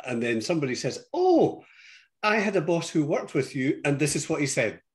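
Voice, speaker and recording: A man's voice: a little high, big pitch swings, moderate pace. An older man with a Scottish accent, a guest chatting and telling stories over a video call from his home office. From Scotland. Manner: warm storytelling, frequent yes and laughs. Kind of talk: casual interview chat.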